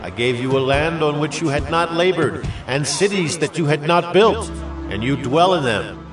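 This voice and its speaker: A man's voice: on the high side, expressive, normal speed, far from the mic, close to an everyday voice. Solemn aged leader played by a man, music behind. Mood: proclaiming. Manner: solemn, declamatory, emphatic. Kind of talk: dramatized scripture oratory.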